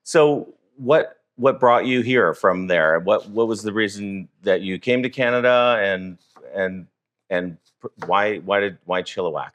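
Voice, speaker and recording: A man's voice: lowish voice, measured pace, a bit bright. A man hosting a casual interview, asking easygoing questions that often restart midway. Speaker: host, interviewer.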